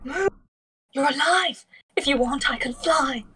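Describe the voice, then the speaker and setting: A woman's voice: high voice, a little slow, pushed and bright. A woman playing a proud, passionate young noblewoman in a screenplay table read. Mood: lovestruck, dramatic.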